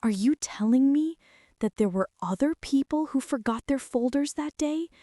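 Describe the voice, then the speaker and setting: A woman's voice: high-pitched, lively intonation, normal speed, mellow, dry recording, clean studio sound, pitched above the performer's own voice. A woman playing a bossy, flustered college girl confessing a secret crush in a dramatic, teasing girlfriend ASMR roleplay. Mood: incredulous, flustered. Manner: dramatic, teasing, breathy whispers mixed with indignant exclamations.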